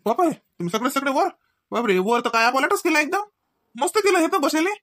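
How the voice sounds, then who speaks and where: A woman's voice: high-pitched, expressive, a little fast, fairly bright tone. A woman voicing an easygoing young husband in a Marathi comedy cartoon, with a casual, exclamatory Varhadi accent. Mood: pleasantly surprised. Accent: Varhadi Marathi from Vidarbha. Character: easygoing husband and brother-in-law arriving home.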